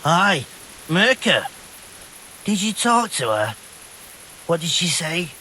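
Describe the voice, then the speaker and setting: An actor playing a dim-witted, easygoing young bandit in a video game, rambling and hesitant in simple colloquial speech. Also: male voice, fairly high pitch, big pitch swings, slow, pushed and bright, a little hiss or hum, full character voice, low-quality recording. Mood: sheepish. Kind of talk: video game dialogue acting.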